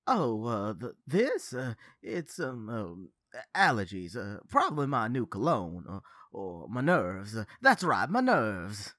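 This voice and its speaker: Husky, stammering nervous husband. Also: male voice, fairly low pitch, huge pitch swings, takes it slowly, fairly bright tone, no echo, studio quality, full character voice. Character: jittery, stammering, frightened young husband. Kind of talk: gothic horror radio play acting.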